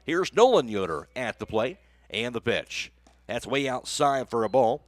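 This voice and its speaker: A man's voice: mid-range voice, big pitch swings, unhurried, dry recording. An American man working as a radio announcer, speaking in a broadcast style into a close microphone. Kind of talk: live baseball play-by-play commentary.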